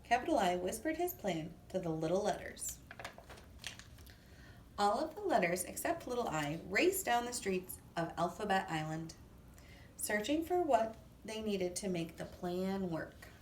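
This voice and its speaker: A woman reading a children's story aloud in an expressive, deliberate way. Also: medium pitch. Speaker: storybook reader. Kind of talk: children's read-aloud narration. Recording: echoey room, distant mic, faint noise.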